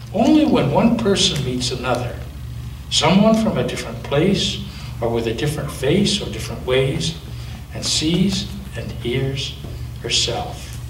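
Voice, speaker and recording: A man voicing a wise, gentle ancient female turtle, speaking slowly and softly, recorded at a distance in a roomy space with faint hiss. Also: a little high.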